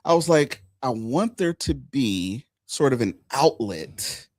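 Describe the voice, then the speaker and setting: Animated man hosting a live chat. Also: medium pitch, slow, dry recording, studio quality. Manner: animated storytelling, emphatic asides. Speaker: podcast host.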